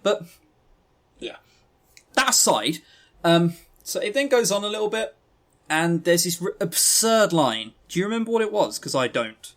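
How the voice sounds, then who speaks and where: A man's voice: high-pitched, big pitch swings, normal speed, long pauses, a bit bright. A man co-hosting a podcast, chatting casually and giving opinionated reviews with sarcastic, joking exasperation. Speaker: podcast co-host reviewing visual novels.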